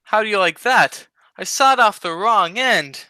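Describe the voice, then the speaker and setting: A man's voice: high voice, unhurried, pushed and bright, clean tone, no echo, heavily acted. A man playing a silly, dim-witted wisecracking sidekick in a 1940s-style radio comedy. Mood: eager. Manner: silly, cheeky wordplay.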